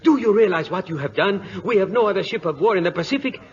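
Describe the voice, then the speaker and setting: An actor playing an alarmed colonel in an old radio drama. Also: male voice, sits high, expressive, quick pace, slight background noise. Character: agitated Spanish colonel, alarmed and pleading. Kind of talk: 1950s radio drama acting. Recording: muffled, echoey old radio broadcast.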